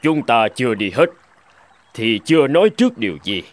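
A man acting earnest men in an animated moral fable, with a theatrical, declamatory delivery. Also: medium pitch, normal speed, natural voice. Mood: solemn. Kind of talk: animated folk tale dub. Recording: music behind the voice.

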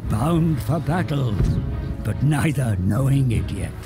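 An ominous storyteller narrates a dark fantasy video game over music. The delivery is very slow, grave and theatrical, with a creaky, gravelly grain in every phrase. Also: male voice, mid-range voice, animated. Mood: grave, menacing. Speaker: cutscene narrator. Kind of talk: dramatic scripted fantasy narration. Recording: music behind the voice. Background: background music.

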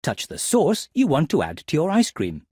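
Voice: medium pitch, huge pitch swings, normal speed, darkish tone, slightly rough, no echo, studio quality. A narrator of a children's game, giving scripted instructions expressively for young children. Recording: music behind the voice.

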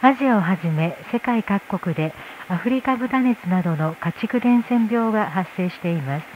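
A woman's voice: medium pitch, expressive, normal speed. Tinny phone-like PA, faint noise, woman, flight attendant, formal cabin announcement. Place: aircraft cabin over PA.

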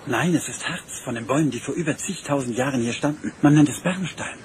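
An actor playing a patient archaeologist uncle in a German radio play, calm and explanatory. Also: male voice, medium pitch, quick pace, slight background noise, lightly acted. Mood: explaining. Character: adult male archaeologist, patient uncle, knowledgeable.

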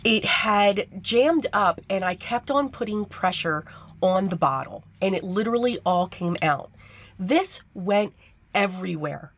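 A woman with a tired, hoarse voice narrating a card-making tutorial step by step, chatty and casual. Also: medium pitch, expressive, a little slow. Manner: chatty step-by-step explaining with fillers. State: losing her voice, hoarse. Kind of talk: voice-over craft tutorial.

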